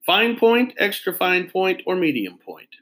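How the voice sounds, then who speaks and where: A man voicing a fussy, pompous adult pen salesman who speaks slowly and precisely, like a sales pitch. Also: big pitch swings, fairly bright tone, full character voice.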